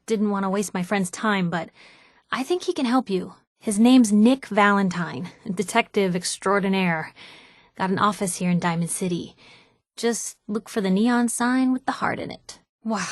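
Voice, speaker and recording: A woman's voice: medium pitch, no echo, lightly acted. Woman acting a plucky young female reporter, video game companion, wry, teasing, conversational, very dry close sound. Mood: earnest, excited. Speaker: companion character voice actor.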